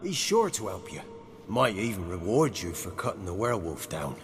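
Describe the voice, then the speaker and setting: A man's voice: low voice, big pitch swings, normal speed, big-room sound, a little hiss or hum. An actor playing a humble, folksy peasant man in a fantasy game, hesitant, deferential and eager to please. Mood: impressed, deferential. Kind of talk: cinematic fantasy game acting.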